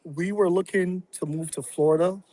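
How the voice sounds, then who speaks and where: A man from Barbados, a guest on a podcast, talking through his plans in a reflective, halting way with many fillers. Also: high-pitched, steady tone, warm tone, poor audio. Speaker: real estate investor and guest. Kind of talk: casual podcast interview.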